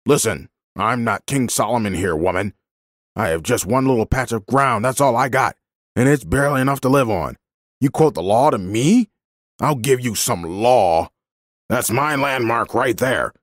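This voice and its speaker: A man's voice: deep voice, big pitch swings, moderate pace, hardly any pauses, no echo, studio quality. A man voicing an angry, bullying, miserly vineyard owner who rants scornfully in a dramatised story. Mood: indignant. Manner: ranting, scornful.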